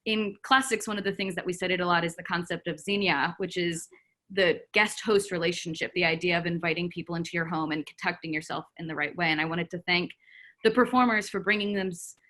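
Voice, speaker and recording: A woman giving spontaneous, reflective remarks on a video call, haltingly thinking out loud. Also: lowish voice. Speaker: audience discussion participant.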